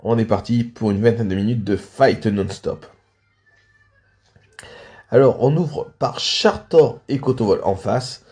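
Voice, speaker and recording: A man's voice: lowish voice, unhurried, long pauses, a little mellow, faint music behind. A man hosting and casually commentating on video game matches, slightly stuffed up with a cold. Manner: frequent throat clearing and coughing.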